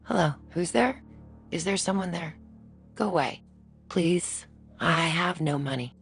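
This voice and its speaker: An actress playing a frail, lonely old woman in a video game, with a creaky voice. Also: fairly low pitch, lively intonation, measured pace, soft background music. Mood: frightened, pleading. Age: older.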